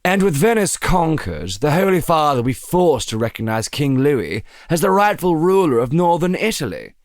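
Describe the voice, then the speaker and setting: A man's voice: on the high side, big pitch swings, moderate pace, no room sound, clean studio sound, pitched above the performer's own voice. A man voicing a tall, thin, articulate and self-satisfied French general in an audio drama. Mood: smug. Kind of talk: audio drama character acting.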